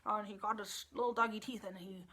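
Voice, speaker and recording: A woman librarian telling a children's story in an animated style, building drama with emphasis and repetition. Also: mid-range voice, a little fast.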